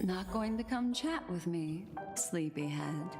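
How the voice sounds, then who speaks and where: A woman's voice: lowish voice, lively intonation, slow pace, music in the background. A woman voicing a mysterious, elegant, playfully teasing enchantress in an anime game. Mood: teasing, composed.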